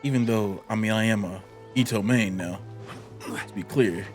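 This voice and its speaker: A man's voice: lowish voice, moderate pace, soft background music. An American man giving casual, slangy reaction commentary as a gaming streamer. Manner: slangy, colloquial.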